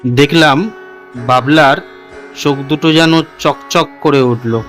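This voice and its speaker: A man's voice: a little high, slow, a bit bright, soft background music. A man narrating a scripted first-person story for a cartoon as its storyteller.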